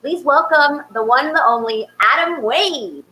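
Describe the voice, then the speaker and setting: A woman's voice: sits high, expressive, measured pace, sharp tone, rough recording. A woman hosting a show, introducing performers in a casual, effusive way. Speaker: show host.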